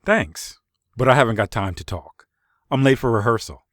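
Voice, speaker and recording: A man playing a hurried, impatient playwright in an amateur audio drama, politely brushing people off in clipped replies. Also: mid-range voice, animated, no echo, studio quality, close to an everyday voice.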